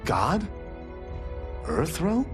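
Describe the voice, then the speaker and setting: Bold, very animated young fighter. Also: male voice, fairly high pitch, drawn-out words, over music, heavily acted. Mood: concerned, puzzled. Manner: expressive, earnest. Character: bold, defiant young male martial artist, loyal protector. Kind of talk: video game cutscene acting.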